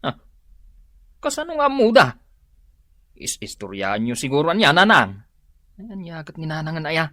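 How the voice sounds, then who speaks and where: A man's voice: fairly high pitch, big pitch swings, moderate pace, long pauses, bright, forceful tone, no room sound, clean studio sound. An actor playing a boastful, defensive hard-drinking working man with an Ilocano Filipino accent in a comedy radio drama.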